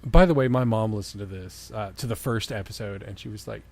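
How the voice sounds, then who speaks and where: A man's voice: on the low side, a little fast, creaky, a little mellow. A man doing a dramatic comedic reading of fan fiction, breaking into exasperated, mocking asides. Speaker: co-host of a comedy reading show.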